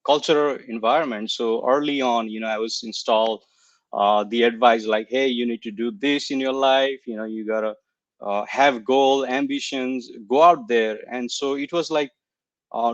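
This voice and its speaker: A man's voice: mid-range voice, moderate pace, dry recording. A young man talks over a video call, hesitating often and leaning on fillers while he gathers his thoughts. The sound is dull and lo-fi, and the room is dry. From Nepal. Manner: hesitant, searching for words, many fillers. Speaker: coaching client guest. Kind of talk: casual online interview talk.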